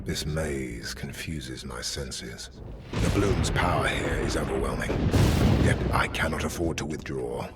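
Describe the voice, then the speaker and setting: An actor playing a grim, noble grandmaster of holy warrior knights in a video game cutscene, solemn and formal, with music behind him. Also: male voice, rumbling low, a little slow, heavy background noise. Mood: grave. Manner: solemn, formal, weighty delivery.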